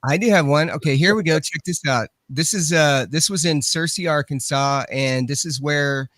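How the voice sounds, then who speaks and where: A man's voice: on the high side, normal speed, fairly bright tone, no echo. A man speaking as a guest in a casual chat, rambling and apologizing for interrupting, through a studio microphone with an old effects unit on his voice. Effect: voice run through an old effects unit. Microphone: radio station studio microphone.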